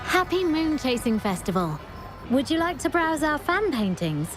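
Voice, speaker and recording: A woman's voice: high voice, very animated, moderate pace. An actress voicing a polite, welcoming female vendor at a festival stall in a video game, explaining her wares. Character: courteous female fan-painting vendor at a festival. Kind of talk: scripted video game voice acting. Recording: music playing behind the voice. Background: game music.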